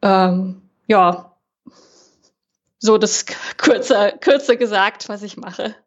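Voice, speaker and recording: A woman's voice: mid-range voice, slow. A young woman from Germany, a podcast guest chatting casually with many hesitations and fillers. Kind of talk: casual interview chat. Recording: dull lo-fi sound.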